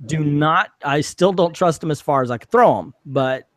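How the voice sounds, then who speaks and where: A man with a country accent mixed with Chicago, rambling with drawn-out vowels and lots of fillers, as a guest on an online chat over a remote call mic. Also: on the high side, lively intonation. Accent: American country, mixed with Chicago. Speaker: guest and fellow streamer. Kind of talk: casual online discussion.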